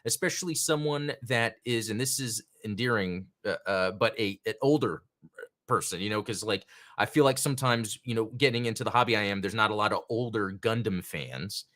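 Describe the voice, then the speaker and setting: A man's voice: lowish voice, normal speed, a bit bright. A man hosting a casual live-stream chat show, rambling through thoughts and greeting viewers in the chat by name. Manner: rambling, chatty, reads out chat names. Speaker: podcast host.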